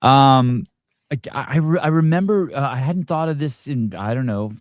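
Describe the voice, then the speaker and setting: A man's voice: medium pitch, moderate pace, dry recording. A middle-aged man hosting a live interview, rambling and self-deprecating with many restarts. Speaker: podcast host.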